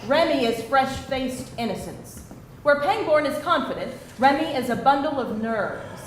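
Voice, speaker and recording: High, lively woman narrator, very echoey. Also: normal speed. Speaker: stage-direction narrator. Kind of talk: scripted, descriptive narration at a live screenplay table read. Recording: very echoey room, faint noise.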